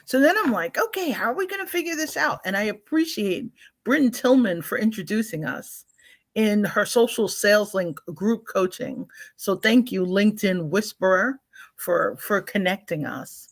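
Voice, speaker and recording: A woman's voice: mid-range voice, lively intonation, normal speed. A woman hosting a live online talk show, greeting viewers and introducing her guest with effusive enthusiasm. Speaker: host.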